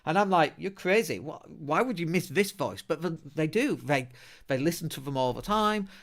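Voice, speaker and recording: A man's voice: a little high, animated, moderate pace, gravelly. Chatty Leeds man hosting a podcast. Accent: Northern English, Leeds. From England. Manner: chatty and blunt, with self-mocking humour. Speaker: podcast host. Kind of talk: casual solo podcast talk.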